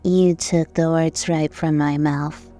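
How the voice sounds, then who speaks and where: A woman playing a calm, unfazed, supportive female pony friend in a cartoon audio drama, over music. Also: low-pitched, slow. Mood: calm, questioning. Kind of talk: animated audio drama acting.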